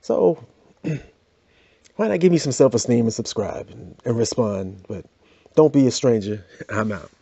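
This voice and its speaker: A man hosts a podcast with a loose comic monologue, full of "you know" asides. His voice sits in a middling pitch. The recording is dull and lo-fi, very dry and close. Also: expressive, a little slow, gravelly, mellow, husky, dry recording. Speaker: podcast host.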